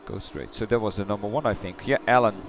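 A middle-aged man with a Dutch accent, chatting loosely through a replay of his racing game run, halting and correcting himself as he goes. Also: on the low side. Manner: halting, rambling, self-correcting. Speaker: sim racing streamer. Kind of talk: casual replay commentary. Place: home gaming room.

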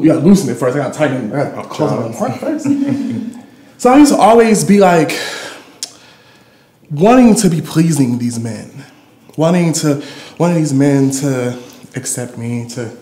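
A man's voice: mid-range voice, a little mellow, a little hiss or hum. A young American man telling candid personal stories live in a playful, sassy, slangy way as a performance artist. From Detroit, USA. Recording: roomy live gallery recording.

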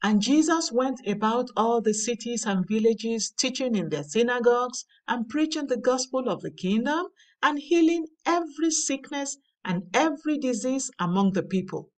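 A woman reading a daily devotional aloud in a measured, earnest way. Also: on the high side, expressive, distant mic. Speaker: devotional reader. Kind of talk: slow scripted devotional reading. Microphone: voice-over over slides.

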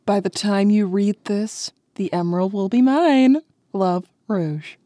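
A woman's voice: medium pitch, unhurried, warm tone, dry recording, clean studio sound, close to an everyday voice. A woman voicing a flirty, teasing bat thief in a cartoon comic dub, coy and playful. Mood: smug. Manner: coy, playful, drawling endearments.

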